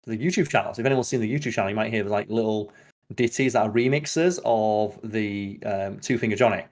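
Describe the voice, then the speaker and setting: A man chatting casually as a podcast host, opinionated and given to sweary, animated rants. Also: lowish voice, a little fast.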